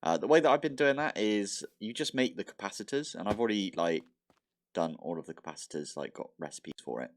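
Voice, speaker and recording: A man's voice: lowish voice, lively intonation, fairly quick, a little hiss or hum. A man casually commentating his modded block-building game, thinking aloud with mild exasperation, his nose slightly blocked. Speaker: modded Minecraft let's play host.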